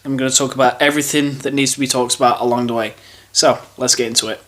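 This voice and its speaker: A man's voice: medium pitch, fairly even intonation, fast talker, fairly bright tone. A young man with a stuffy, bunged-up voice from a cold, walking through his model railway layout in a rambling, casual way. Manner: rambling, thinks aloud with fillers and restarts. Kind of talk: casual show-and-tell walkthrough.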